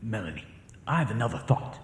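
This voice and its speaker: Intense confederate prisoner in a radio play, lowish voice, really expressive, devoted husband type. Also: male voice, brisk. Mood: hushed, urgent. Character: earnest, devoted Confederate prisoner of war, husband plotting escape. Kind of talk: radio theatre acting. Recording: echoey hall reverb, faint noise.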